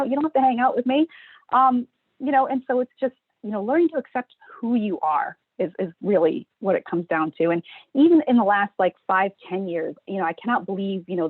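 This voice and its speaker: A woman's voice: medium pitch, no echo. A middle-aged woman telling her own story on a panel, reflective, with many fillers. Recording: tinny phone-like dry sound.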